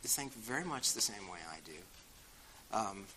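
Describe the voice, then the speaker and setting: An older man with a Boston accent talks frankly and with dry wit, filling pauses with "uh" and "you know." His voice is bright and forceful. A faint hiss sits under the recording. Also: on the low side, animated, rough recording. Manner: frank, wry, many fillers. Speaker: guest speaker answering audience questions. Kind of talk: casual spoken reply.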